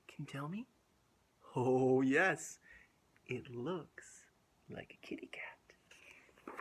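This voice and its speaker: A man reading a picture book aloud to young children in an animated, drawn-out way, asking questions and dropping into whispers. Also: mid-range voice, husky. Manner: drawn-out, asks the listeners questions, drops to whispers. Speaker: children's storytime host.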